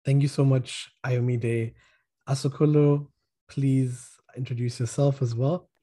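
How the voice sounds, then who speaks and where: A man hosting a podcast discussion, introducing guests in a measured, courteous way. His voice is warm and mellow with a slight huskiness. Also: mid-range voice, fairly even intonation. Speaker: podcast host.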